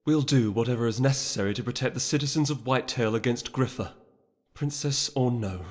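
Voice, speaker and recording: A man's voice: medium pitch, a little fast, lightly acted. A man voicing a proud, resolute noble mare who speaks formally and imperiously in a dramatic fantasy audiobook. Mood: cold, determined.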